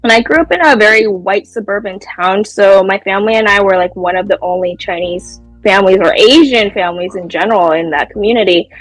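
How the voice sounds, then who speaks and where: A woman's voice: mid-range voice, fairly quick, hardly any pauses, dry recording, soft background music, rough recording. A woman in her thirties whose first language is Mandarin, chatting openly as a podcast guest with frequent laughs and fillers. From California Bay Area, USA. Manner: warm, open, laughs often, many "like" fillers. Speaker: podcast guest, life coach. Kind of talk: casual interview chat.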